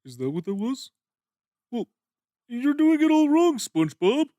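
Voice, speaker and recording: A man's voice: very high voice, sing-song, a little slow, dark tone, no echo, studio quality, heavily acted. A man voicing a dim, blunt male cartoon starfish who speaks in a plain, matter-of-fact way, in a comic fan dub.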